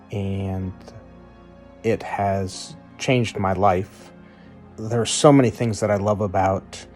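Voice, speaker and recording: A middle-aged man giving a personal testimonial as a volunteer, halting and pausing often. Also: on the low side, a little mellow.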